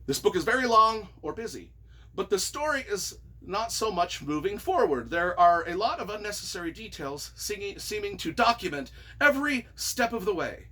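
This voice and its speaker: A man reading reviews aloud and reacting casually in an expressive, wry, self-deprecating way. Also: high voice, moderate pace. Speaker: author and YouTuber.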